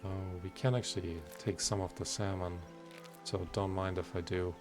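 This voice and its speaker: A man giving casual let's play commentary, musing aloud in unhurried asides as he hosts a gaming video. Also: sits low, steady tone, over music.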